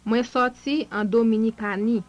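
Female dialogue reader for a language course, slightly high, crisp and careful. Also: fairly even intonation, normal speed, dull audio. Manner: careful, clear enunciation for learners. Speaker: language lesson speaker. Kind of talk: scripted lesson dialogue.

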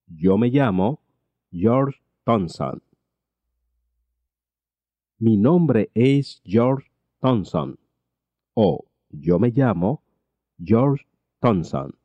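Slow, deep-toned man drilling phrases. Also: lively intonation, mellow, no echo, muffled recording. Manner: deliberate, repeats each phrase twice. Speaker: language teacher. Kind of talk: slow repeated translation drills.

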